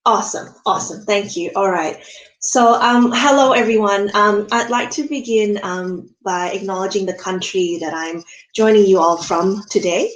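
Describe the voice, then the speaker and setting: Woman in her early thirties, native Indonesian speaker, mid-pitched voice, measured delivery with frequent pauses, video call audio with room echo. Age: early 30s. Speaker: conference speaker. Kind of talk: online presentation with audio description.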